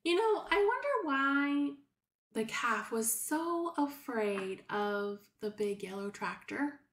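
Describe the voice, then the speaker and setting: A middle-aged woman, a preschool teacher, discussing a story with young children through gentle questions. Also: sits high, animated, slow pace, reverberant. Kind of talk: read-aloud discussion aimed at young children.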